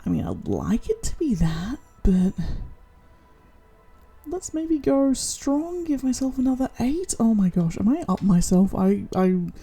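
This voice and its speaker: A young Australian woman from Tasmania, chatting casually and thinking aloud as she rates her own writing, self-deprecating and quick to laugh at herself. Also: a little high, very animated, dark tone, husky, faint music behind. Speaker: vlogger. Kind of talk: casual self-rating chat.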